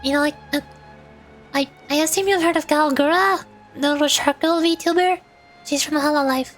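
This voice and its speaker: A woman with a very high voice streams as a VTuber, with music playing behind her. She chats casually to her viewers, rambling and repeating words, with playful little exclamations. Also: normal speed. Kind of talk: casual livestream chat with viewers. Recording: background music.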